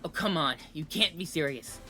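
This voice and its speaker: A brash male superhero acted by a man with a bright, tense voice. He sounds exasperated and combative in an audio drama, over faint music. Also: a little high, expressive, normal speed.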